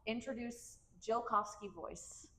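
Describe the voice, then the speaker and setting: A woman's voice: fairly high pitch, slow, bright, forceful tone. A woman co-hosting a podcast, bubbly and encouraging, asking questions and laughing often. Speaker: podcast co-host. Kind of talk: casual interview chat.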